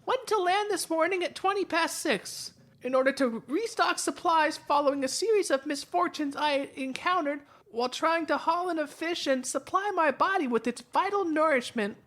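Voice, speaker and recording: A woman plays a crew member reading a journal aloud in an audio drama. Her voice is high, and the phrasing is formal, pompous and old-fashioned. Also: moderate pace, few pauses, a bit bright. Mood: reading, incredulous.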